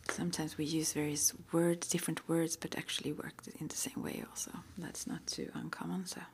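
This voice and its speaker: A woman whispering in an ASMR roleplay as an interviewer, breathy and halting, with gentle questions. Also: sits low, level tone. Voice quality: breathy, mostly unvoiced whisper. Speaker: ASMR roleplay performer as witch school interviewer.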